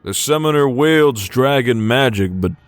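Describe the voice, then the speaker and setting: A man's voice: medium pitch, animated, unhurried, natural voice. A man playing a laid-back, skeptical gunslinger from the Old West, folksy and wry, in a game fan dub. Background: music.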